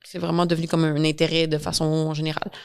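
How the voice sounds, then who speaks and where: A woman with a Quebec French accent speaks in a fairly low, clean and resonant voice. She talks conversationally as an interview guest, hesitating and restarting words while she looks for the right phrasing. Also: monotone, quick pace, clear, resonant tone. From Quebec, Canada. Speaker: interview guest, newcomer reception coordinator.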